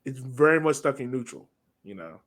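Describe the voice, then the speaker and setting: A man with a mid-pitched voice talks casually as a soccer podcast host. His delivery is informal and a little rambling, as if working out each thought while he speaks. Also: big pitch swings, fairly quick, fairly bright tone. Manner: informal, rambling, thinking aloud. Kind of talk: casual sports podcast chat.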